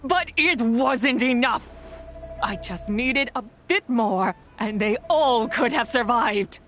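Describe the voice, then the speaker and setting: A woman's voice: on the high side, animated, slow, a bit bright. An actress voicing a proud, wrathful and sorrowful female spirit in a video game, speaking in solemn, grand, archaic phrases. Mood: grieving. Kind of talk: dramatic scripted video game voice acting. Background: music.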